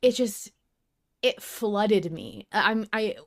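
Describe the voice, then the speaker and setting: Woman podcaster chatting casually about stuff she loves, sincere and open, medium pitch. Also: big pitch swings, slow pace. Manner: earnest, emotionally open. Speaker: podcast host. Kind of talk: casual fan discussion.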